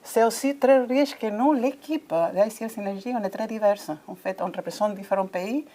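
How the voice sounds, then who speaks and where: A woman who speaks French as a second language answers questions at a conference. She talks in a hesitant, halting way, full of fillers and restarts. Her pitch sits in a middle range for a woman. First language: not French. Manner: hesitant, many fillers and restarts, warm enthusiasm. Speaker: ambassador of a coaching federation. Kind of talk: conference interview.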